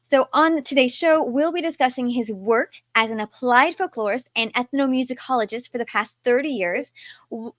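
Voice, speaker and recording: A woman's voice: a little high, a bit bright, dry recording, clean studio sound. A woman with a Texas accent hosting a podcast, reading a scripted intro and then asking questions. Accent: Texan. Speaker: podcast host. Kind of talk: podcast interview.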